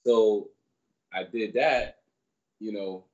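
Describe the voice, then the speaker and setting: A man in his thirties from Barbados, raised in New York, telling his story as a guest in a relaxed, rambling way on a video call. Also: on the low side, extremely slow, dull audio. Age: 30s. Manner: relaxed, rambling, many fillers. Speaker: guest, personal trainer. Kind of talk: casual storytelling interview. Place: small room indoors. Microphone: video call webcam.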